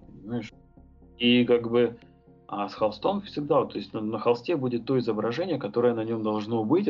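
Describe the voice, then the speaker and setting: A man's voice: mid-range voice, vocal fry, darkish tone, dull audio. A man talking as a podcast guest, thinking aloud about his tattoo and painting work, rambling with many fillers and hesitations. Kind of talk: casual podcast conversation.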